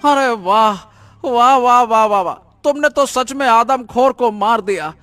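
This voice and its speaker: Captive prisoner for animated tale, male actor: extremely high, piercing, urgent, effusive delivery over faint music. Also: measured pace, heavily acted. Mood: relieved, grateful. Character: frightened, pleading captive human prisoner. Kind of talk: dramatic animated story dialogue.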